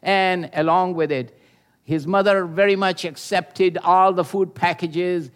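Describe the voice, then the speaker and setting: Older guy preaching, slow and careful, kinda high voice, lots of uh pauses. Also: fairly even intonation, smooth. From Pakistan. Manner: earnest and measured, with pauses and hesitations. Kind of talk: sermon with personal testimony.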